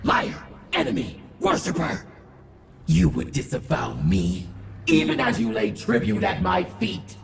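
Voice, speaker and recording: Wrathful, gravel-throated alien war goddess. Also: female voice, fairly low pitch, huge pitch swings, measured pace, slight background noise, full character voice. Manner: bellowing, imperious declamation. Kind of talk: video game villain monologue. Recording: echoing voice over faint music.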